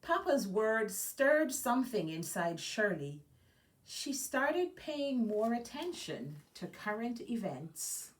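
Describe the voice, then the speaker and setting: Expressive woman reading a storybook aloud, unhurried. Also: on the high side, far from the mic. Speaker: guest storybook reader. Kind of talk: children's picture book read-aloud.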